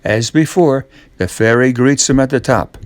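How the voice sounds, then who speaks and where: A man narrating a scripted children's story, reading aloud in a measured, expressive way. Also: mid-range voice, no room sound.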